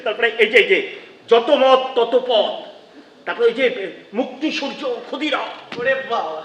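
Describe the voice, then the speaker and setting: High-pitched wistful stage player acted by a man in echoey hall. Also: expressive, normal speed, fairly bright tone. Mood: wistful, marvelling. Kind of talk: theatrical Bengali stage acting. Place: theatre stage. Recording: echoey hall from far off, noisy.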